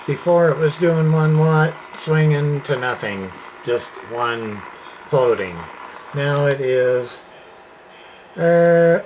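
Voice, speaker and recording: A man giving a casual, off-the-cuff demonstration as a radio repair hobbyist, halting and folksy in a plain-spoken way. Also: a little high, low-quality recording. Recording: clear background radio noise and hiss. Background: radio noise.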